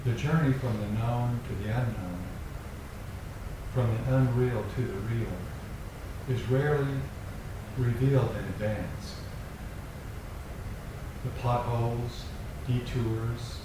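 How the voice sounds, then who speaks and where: A man reads a poem slowly and deliberately, pausing between short phrases in a measured meditation-group style. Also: mid-range voice, fairly even intonation, heavy reverb, distant mic. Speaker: poetry reader at a meditation group. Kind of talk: slow, measured poem reading. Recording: clearly noisy room recording.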